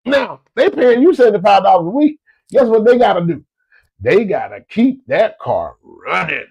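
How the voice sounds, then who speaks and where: Stuffed-up man, gravelly and high. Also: big pitch swings, moderate pace, a little mellow, dull audio. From Florida. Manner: folksy, repetitive, addresses listeners as y'all. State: stuffed-up nose from a cold. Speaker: host giving business advice. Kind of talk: casual motivational talk to listeners.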